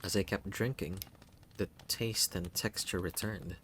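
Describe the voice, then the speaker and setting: A narrator reading a first-person visual novel story in a measured, unhurried way. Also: sits low, fairly even intonation, noisy background. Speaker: narrator and game reader.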